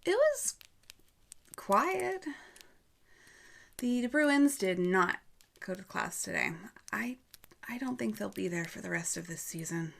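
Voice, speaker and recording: A woman's voice: medium pitch, sing-song, slow, gravelly, long pauses, a bit bright, full character voice. A woman playing an anxious, stubborn farm schoolgirl in a horror radio drama, speaking hesitantly. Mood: subdued.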